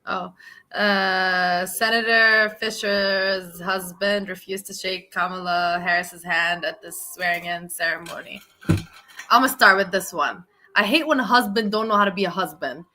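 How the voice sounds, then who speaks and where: Bright, emphatic woman podcast co-host. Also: medium pitch, unhurried, slight background noise. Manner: indignant, emphatic. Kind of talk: casual news discussion.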